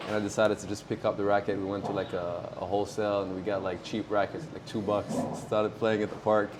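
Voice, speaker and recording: A man in his twenties from Morocco, a pro tennis player talking casually in an interview, relaxed and halting with many fillers. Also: lowish voice, background noise. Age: 20s.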